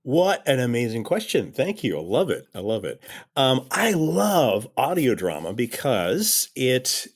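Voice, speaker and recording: An enthusiastic man speaks as a guest in a casual interview. His middle-pitched voice moves up and down a great deal. He is emphatic and likes to repeat a phrase to drive it home. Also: very animated, a little slow. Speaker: interview guest, audio drama creator. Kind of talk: casual conversational interview answers.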